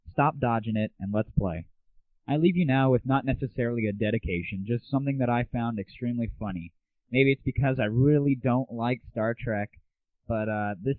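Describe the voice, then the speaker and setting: A man's voice: medium pitch, dry recording. A man hosting a casual, blunt monologue show about gaming, talking informally with some mild swearing. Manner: informal, mildly profane, blunt. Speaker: show host. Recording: tinny narrow-band phone-like audio.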